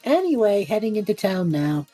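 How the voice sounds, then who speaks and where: A woman playing a cocky, cheeky young male adventurer who talks in a flippant, chatty, excitable way in a fantasy audio drama. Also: mid-range voice, normal speed, soft background music, low-quality recording. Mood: breezy banter.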